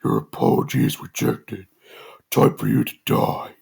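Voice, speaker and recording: A man voicing a menacing masked killer in a slow, low, demonic voice. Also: sing-song, vocal fry, a little mellow, husky, heavily acted. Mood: cold.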